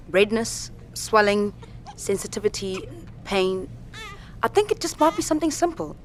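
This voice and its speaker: Audio drama role, female actor: makeshift medic, calm, measured and practical, medium pitch, light background noise. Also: close to an everyday voice. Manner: measured, explanatory.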